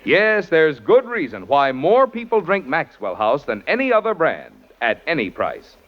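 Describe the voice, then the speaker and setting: A man announcing a commercial and narrating for a 1940s radio show, with a smooth, persuasive sales delivery. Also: on the high side, huge pitch swings, moderate pace, phone-quality sound. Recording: scratchy 1940s radio broadcast.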